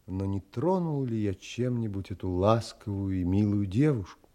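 Actor as low, mellow, pensive gentleman narrator. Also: natural voice. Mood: pensive, tender. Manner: measured, reflective. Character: pensive, tender gentleman narrator telling his own story. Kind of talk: audio play narration.

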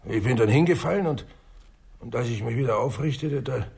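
A man playing a shaken, wounded customs officer who speaks haltingly, in a 1960s German radio drama. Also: mid-range voice, animated, gravelly, darkish tone, breathy edge, heavily acted. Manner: halting, stammering repetitions.

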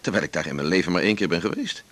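An actor playing a teasing, evasive romantic who muses wistfully, in a naturalistic radio drama. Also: male voice, low voice, racing, fairly bright tone.

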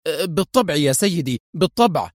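A flustered, fawning prison warden from an audiobook, voiced by a man a little above his normal pitch. He trips over his words and tries anxiously to please, recorded in a dry, close space. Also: big pitch swings, fast talker, no room sound. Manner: stammering, fawning.

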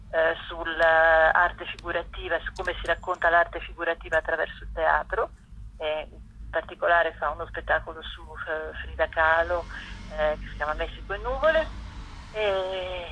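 A woman's voice: deep voice, sharp tone, slightly rough. A woman speaking as an interview guest, explaining an event programme informally and hesitantly, searching for words as she goes. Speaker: festival curator, interview guest. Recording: dull lo-fi telephone-like line.

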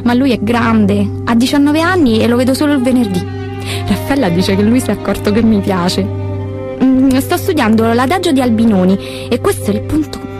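A woman's voice: a little high, lively intonation, normal speed, natural voice. A woman playing a chatty, dreamy teenage schoolgirl in a confiding, hesitant theatre monologue. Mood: dreamy, smitten. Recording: music behind the voice.

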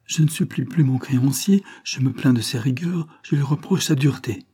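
A man's voice: mid-range voice, normal speed, warm tone, slightly rough, pitched above the performer's own voice, lightly acted. A man voicing an agitated man confessing a crime to his lover, exalted and dramatic, as in an audiobook. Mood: desperate, exasperated. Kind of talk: dramatic audiobook character reading.

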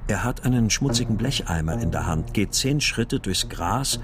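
A man's voice: on the low side, lively intonation, moderate pace, lightly acted. An actor playing a grim, determined father searching for his child, narrating and speaking tersely in a crime radio play. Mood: tense, restrained. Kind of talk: scripted first-person narration and dialogue in a crime audio drama. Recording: music behind the voice.

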